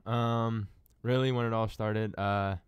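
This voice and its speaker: A man's voice: lowish voice, steady tone, slow, clean tone, dry recording, studio quality. A young man in his twenties, a college running back, telling his story in a laid-back way on a radio show. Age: 20s. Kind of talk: casual radio interview. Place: radio studio.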